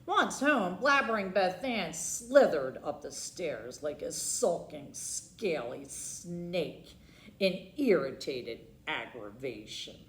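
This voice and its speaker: Animated woman reading a children's story. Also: fairly low pitch, slow. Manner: theatrical, emphasizing alliterative words. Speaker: library storytime reader. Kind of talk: dramatic children's picture-book narration. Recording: roomy, echoing sound.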